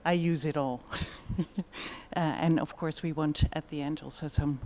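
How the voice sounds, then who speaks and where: A woman's voice: sits low, steady tone, a little slow, dark tone, slight background noise. A woman with a Dutch accent giving a conference presentation in a thoughtful way, with frequent fillers. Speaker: conference speaker.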